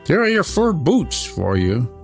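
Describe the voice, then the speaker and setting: A fierce little robber girl from a storybook, bossy and teasing, her voice pitched high and swinging widely, as a man puts her on. The delivery is threatening with a gravelly edge underneath, and soft music plays behind. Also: huge pitch swings, a little slow, full character voice.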